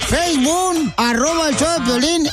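A man's voice: very high voice, moderate pace, music in the background. A man hosting a radio show, joking and teasing his co-hosts in playful banter. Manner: playful, teasing, bursts of laughter.

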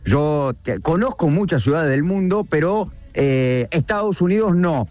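A man's voice: a little high, expressive, a little slow, darkish tone, no room sound, highs cut off. A man with a Rioplatense accent reporting live from inside a shop, talking in a conversational way and asking passers-by questions. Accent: Rioplatense Spanish. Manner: amazed, conversational. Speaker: field reporter. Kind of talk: live report and street interviews.

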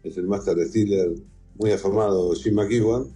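A man's voice: lowish voice, steady tone, moderate pace, mellow, slightly rough, faint music behind. A middle-aged man with an Argentine accent, answering conversationally as the guest in an interview. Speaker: interview guest, whisky museum founder and collector.

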